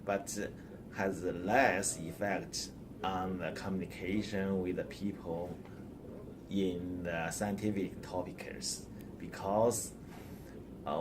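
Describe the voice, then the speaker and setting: A man with a non-native English accent, a lab director answering an interview question in a halting, careful way. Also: sits low, noisy background. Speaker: core facility director.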